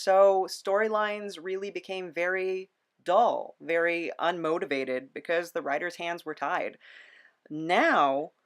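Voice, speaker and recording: A podcast host in casual conversation, explaining things in a halting, thoughtful way. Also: mid-range voice.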